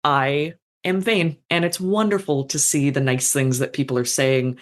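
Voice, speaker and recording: Animated, outspoken podcast talker. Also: moderate pace. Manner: animated, swears, big jumps in pitch. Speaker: podcast participant. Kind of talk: casual podcast conversation.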